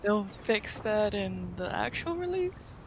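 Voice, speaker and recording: A woman's voice: mid-range voice, very animated, takes it slowly, noisy background. A woman chatting casually as a co-commentator on a video game stream. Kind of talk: casual chat.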